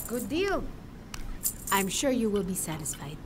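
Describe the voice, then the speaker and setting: Lively, assured merchant woman. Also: medium pitch, a little slow, noisy background. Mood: pleased, assured. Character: courteous trading merchant woman. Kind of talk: video game merchant lines.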